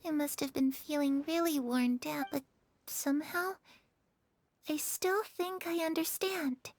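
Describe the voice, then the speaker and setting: A woman voicing a gentle, earnest young woman in a video game, soft and sincere, trusting and wistful in manner. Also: high voice, steady tone, a little slow. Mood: wistful, resigned.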